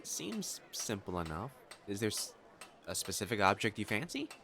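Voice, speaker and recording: An actor playing a proud, secretly smitten young noble matchmaker in a romantic audio drama, with haughty formal diction that turns to stammering when flustered. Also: male voice, lowish voice, huge pitch swings, moderate pace, gravelly, fairly bright tone, slight background noise, exaggerated voice. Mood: wistful, formal. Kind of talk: acted romantic audio drama monologue to the listener.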